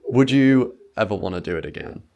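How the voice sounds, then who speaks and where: A man's voice: lowish voice, brisk, creaky, lo-fi sound, background noise. A man interviewing pantomime actors in an enthusiastic, chatty way. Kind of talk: casual interview chat.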